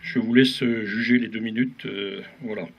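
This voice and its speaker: A man's voice: on the low side, steady tone, creaky, breathy edge, highs cut off. Man announcing an outdoor memorial. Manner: halting, switches between French and English. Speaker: ceremony organizer.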